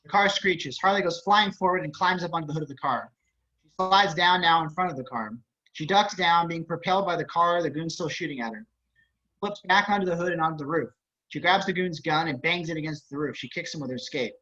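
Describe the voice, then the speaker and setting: A man's voice: fairly high pitch, a little fast, pushed and bright. A man reading scripted action narration and stage directions over a video call. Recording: dull video-call audio.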